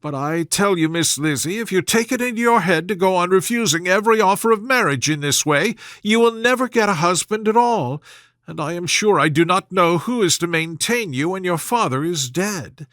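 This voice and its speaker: A man's voice: high-pitched, animated, normal speed, fairly bright tone, dry recording, studio quality, voice pushed up. A man voicing a melodramatic middle-aged mother who complains and scolds in a plaintive, querulous way, as in a period storybook. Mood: indignant scolding.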